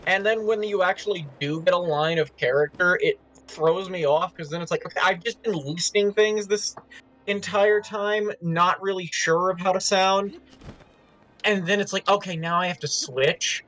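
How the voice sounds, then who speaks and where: A man's voice: high-pitched, fairly even intonation, moderate pace, a bit bright, rough recording. A man chatting casually between story readings, explaining himself at length in a self-deprecating way. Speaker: co-reader voicing characters.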